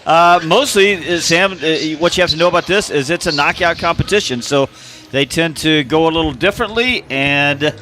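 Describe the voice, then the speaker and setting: A man giving casual radio soccer analysis in a folksy, chatty way, with many "uh" pauses. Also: a little high, pushed and bright, slight background noise. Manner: folksy and chatty, frequent uh fillers.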